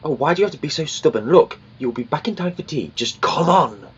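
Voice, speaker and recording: A man's voice: on the high side, animated, brisk, slightly rough, muffled recording. A man playing an eccentric, impatient alien time traveler in an audio drama, with a flustered, blunt delivery. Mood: urgent.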